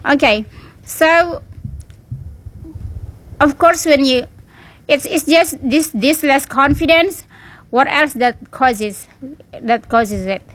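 A woman hosts a relaxed radio chat in English. Her voice sits a little high, and she keeps encouraging her guest. She talks loosely, restarting phrases and filling the gaps as she goes. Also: slow pace, pauses often, poor audio. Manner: chatty and encouraging, many restarts and fillers. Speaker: radio show host. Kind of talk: casual on-air chat with a guest.

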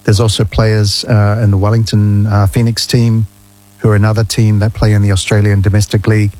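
A man, a guest ambassador giving measured, friendly answers in a radio studio interview. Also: on the low side, monotone, dark tone, poor audio. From New Zealand. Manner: measured, friendly, explains at length.

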